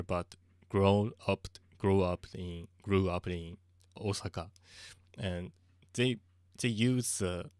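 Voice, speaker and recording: A man with a Japanese accent talks casually to his livestream audience in a low voice. He often stops to look for words and laughs softly as he goes. The sound is close and dry, with no room echo. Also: smooth. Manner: halting and searching for words, with frequent soft laughs. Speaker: streamer.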